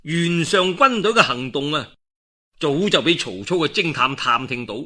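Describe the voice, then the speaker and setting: A man's voice: fairly high pitch, expressive, normal speed, bright, forceful tone. A man telling a historical tale in colloquial Cantonese in a traditional storytelling style, with dramatic delivery and conversational asides.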